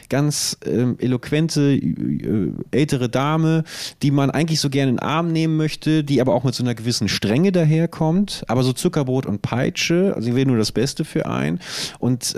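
A man's voice: medium pitch, darkish tone, dry recording, clean studio sound. A man co-hosting a casual comedic podcast, rambling through absurd ideas in an ironic way. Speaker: podcast co-host.

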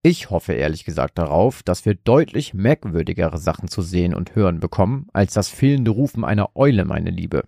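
A thrill-seeking young man on a night ghost hunt in a horror audiobook , acted out by the male narrator. He speaks in an excited, breathy and dramatic hush, recorded very dry. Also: deep voice, moderate pace, hardly any pauses, no room sound, exaggerated voice. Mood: teasing. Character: thrill-seeking young boyfriend, eager, hushed ghost hunter.

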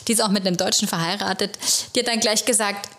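A woman's voice: mid-range voice, fairly even intonation, fast talker, a bit bright. Chatty German woman podcast host. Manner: chatty, rambling, with many hesitations. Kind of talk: casual solo podcast storytelling.

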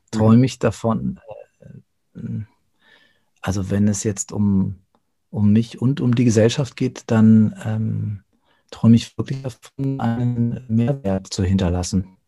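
A man speaking reflectively as an interview guest, thoughtful and often pausing to search for words. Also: lowish voice, gravelly, dark tone. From Germany.

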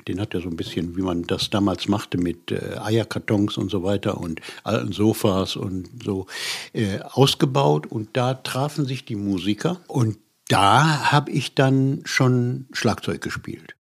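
Gravelly, husky man in his seventies reminiscing. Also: on the low side. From western Germany. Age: 70s. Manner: halting, searching for words, self-interrupting. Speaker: interview guest, musician and writer. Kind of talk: casual personal recollection.

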